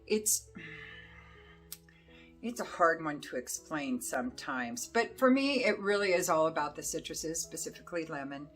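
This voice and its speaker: Middle-aged woman, hoarse, lowish voice, rambling casual chat, lots of ums, faint music behind. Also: expressive, moderate pace. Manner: rambling, chatty, with many fillers. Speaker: fragrance reviewer.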